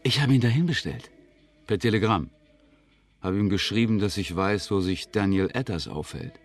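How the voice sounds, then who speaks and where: An actor playing a cocky, scheming outlaw in a German western radio drama, glib, sly and needling. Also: male voice, on the low side, moderate pace, lightly acted. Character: slick, scheming outlaw swindler, cocky and vengeful.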